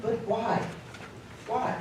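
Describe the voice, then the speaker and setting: A man's voice: extremely high pitch, little pitch movement, very slow, a little mellow, heavily acted. Man as an earnest, hearty nephew, echoing hall. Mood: pleading, warm. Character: cheerful, warm-hearted nephew, earnest and forgiving. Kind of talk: live radio drama acting. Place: live community theatre performance. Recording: roomy live stage, distant mic, faint noise.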